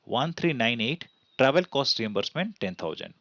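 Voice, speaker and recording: A man's voice: medium pitch, sing-song, moderate pace, pushed and bright, no room sound. Indian man teaching, dry muffled recording. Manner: didactic, asks and answers own questions. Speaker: accounting instructor. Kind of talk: explanatory tutorial over slides.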